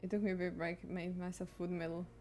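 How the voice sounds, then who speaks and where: A woman's voice: on the low side, little pitch movement, racing, dark tone, slight background noise. A woman livestreamer giving casual, live commentary while she plays a video game.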